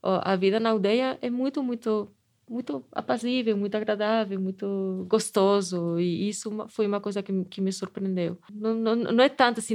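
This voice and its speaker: A woman's voice: mid-range voice, darkish tone. Middle-aged woman professor, Spanish accent, reflective, restarts her phrases often. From Spain. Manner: thoughtful, many restarts and tag questions. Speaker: anthropology professor. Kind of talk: reflective interview answers.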